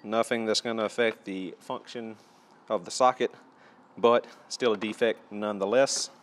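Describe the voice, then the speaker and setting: A man's voice: fairly low pitch, fairly even intonation, moderate pace, slight background noise. A man giving a casual, matter-of-fact and methodical product review as he presents tools.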